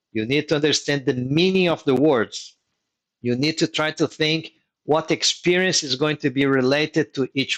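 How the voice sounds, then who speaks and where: A man's voice: mid-range voice, fairly bright tone. A man whose first language is Portuguese, hosting a live online workshop as a mentor and explaining advice step by step, repeating key points. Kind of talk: live online teaching session.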